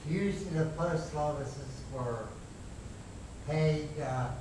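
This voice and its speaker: A man in his eighties making a loud, emphatic, rambling public comment at a city council meeting. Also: on the high side, takes it slowly. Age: 80s. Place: large council chamber, speaking from a chair. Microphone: far room mic. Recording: echoey chamber from far off with hiss.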